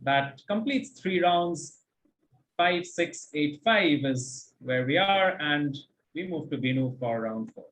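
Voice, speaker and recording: Lively, higher-pitched male quizmaster. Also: slow pace, low-quality recording. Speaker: quizmaster and host. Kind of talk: reading quiz questions and commenting on answers.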